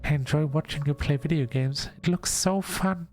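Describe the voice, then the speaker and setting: Game creature, done by a male host: shy shadow monster, raised voice, inquisitive and childlike, gently unsure of humans. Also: a little high, normal speed, faint music behind, pitched above the performer's own voice, natural voice. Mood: curious, intrigued. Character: shadowy sleep paralysis demon, curious, gentle, shy newcomer.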